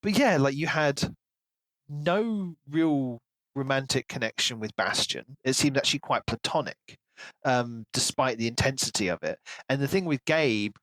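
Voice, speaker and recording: A man's voice: mid-range voice, expressive, normal speed, fairly bright tone, dry recording, poor audio. A man co-hosting a casual book discussion, giving his opinions in an analytical way. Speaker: podcast co-host.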